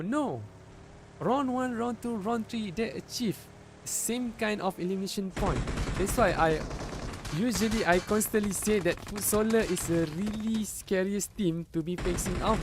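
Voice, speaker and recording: Male Malaysian esports caster, unhurried, hesitant phrasing, gets louder in fights. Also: a little hiss or hum. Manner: halting, with frequent restarts and fillers; rises in pitch and volume at fights. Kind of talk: live game commentary.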